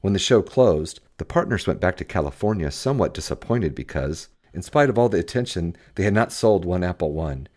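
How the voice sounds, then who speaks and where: A man with a fairly low voice narrates an audiobook history. His intonation rises and falls widely, giving the scripted reading an expressive, storytelling delivery. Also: brisk, hardly any pauses. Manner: expressive storytelling, acts out quoted dialogue. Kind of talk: scripted narration of a first-person tech history memoir.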